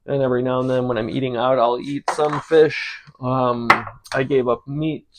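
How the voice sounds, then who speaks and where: A man's voice: medium pitch, moderate pace, very noisy. A man in his forties from the United States, chatting casually and rambling with many fillers while he cooks on his channel. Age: 40s. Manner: rambling, relaxed, many ums and uhs. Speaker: cooking channel host. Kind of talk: casual talk while cooking.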